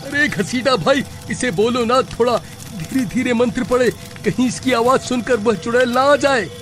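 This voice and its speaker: A cowardly village fellow, played by a man, very high and sharp in pitch and tone. He is an excitable, whining, bickering figure from a comic horror cartoon. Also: normal speed, soft background music. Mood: anxious urging. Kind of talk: cartoon horror-comedy voice acting.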